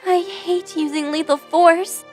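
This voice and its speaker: A woman plays a gentle young mother in an audio drama, with a very high, girlish voice. She speaks slowly and softly, earnest and tender, over faint music. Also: steady tone. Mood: tearful, earnest. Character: gentle devout young mother, tearful yet doting.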